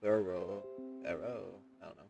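A man's voice: sits low, steady tone, normal speed, creaky, highs cut off. A man in his thirties giving casual, rambling commentary as he plays a game, reacting and reading text aloud. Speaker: gameplay streamer. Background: game music.

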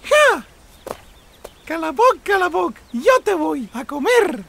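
An actor voicing an excitable, bossy, nosy rabbit in a children's cartoon dub. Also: very high voice, slow pace, vocal fry, slight background noise, exaggerated voice. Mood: excited, threatening. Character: excitable rabbit who threatens to eat the bun.

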